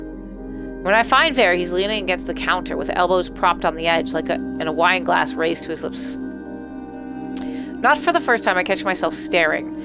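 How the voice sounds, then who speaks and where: A woman's voice: fairly low pitch, creaky. A woman streaming a let's play, reading a visual novel aloud with playful, dramatic, giggly asides. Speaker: gaming streamer. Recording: music behind the voice. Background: game music.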